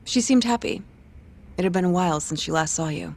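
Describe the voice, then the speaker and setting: A woman playing a reserved, polite law office worker in a video game dub, with clipped, deadpan delivery. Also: fairly low pitch, fairly quick.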